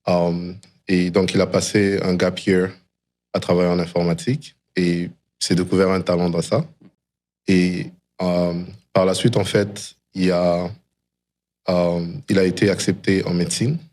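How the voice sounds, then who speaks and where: A man's voice: low voice, little pitch movement, measured pace, a little mellow. A middle-aged man from DR Congo and Canada speaks as an interview guest, telling a personal story reflectively and mixing English phrases into his French. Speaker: interview guest, tech founder.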